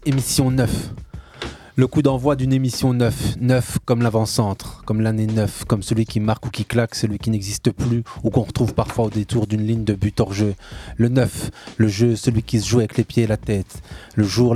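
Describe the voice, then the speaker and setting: Guy hosting a football radio show, warm low-key voice, playful wordplay, studio mic. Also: slight background noise. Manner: playful, wordplay-heavy intro patter. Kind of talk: casual radio football talk.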